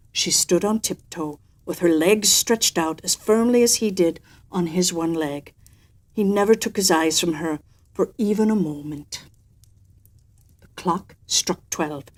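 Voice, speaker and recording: A woman storyteller with a mid-pitched voice narrates a children's tale. Her delivery is theatrical and expressive, swelling for emphasis and dropping softer at the ends of phrases. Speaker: storyteller and host. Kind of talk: expressive storybook narration with an in-character personal anecdote.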